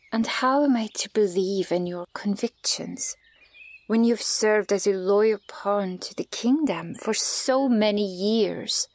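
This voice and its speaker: Woman playing a stern, creaky-voiced elven archer. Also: mid-range voice, darkish tone. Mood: wary, doubtful. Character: stern, guarded female elven archer, centuries old, scornful of humans. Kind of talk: ASMR fantasy audio roleplay.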